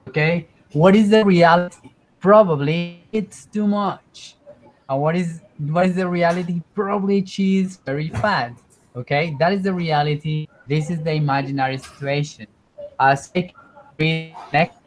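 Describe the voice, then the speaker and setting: Man, online English teacher, slow, patient, repeats himself, laptop mic. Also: fairly high pitch, slight background noise, rough recording. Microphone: computer mic on a video call.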